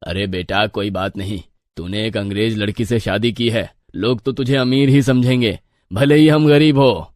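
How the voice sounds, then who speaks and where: A man voicing a gentle, consoling older village father in a moral story. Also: fairly low pitch, normal speed, no room sound, clean studio sound, voice pushed down, close to an everyday voice. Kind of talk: animated moral story dialogue.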